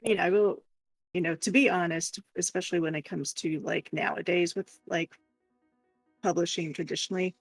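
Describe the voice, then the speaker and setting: A man in casual co-stream chat, speaking haltingly and correcting himself as he goes. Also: high voice, steady tone, creaky, no echo, soft background music.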